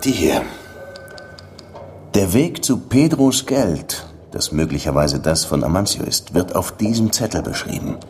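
An actor playing a world-weary Cuban police detective in a radio drama, dry and brooding in dialogue and narration. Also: male voice, deep voice, very animated, a little slow, a little mellow, a little hiss or hum. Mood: businesslike. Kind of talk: radio drama acting and first-person narration.

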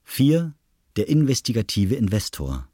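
A man's voice: mid-range voice, sing-song, a little slow, no echo. A man reading scripted literary narration as the narrator of an audio drama. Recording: loud music under the voice.

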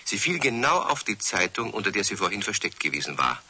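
A man narrating a children's radio play as a lively storyteller with a husky voice. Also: lowish voice, fairly quick, heavily acted. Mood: lively storytelling. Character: male storyteller of a children's tale.

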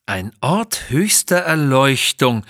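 A man's voice: mid-range voice, big pitch swings, takes it slowly, fairly bright tone, no echo, studio quality, heavily acted. A man voicing a learned, thoughtful doctor and explorer who speaks politely and ponderingly in an audio story.

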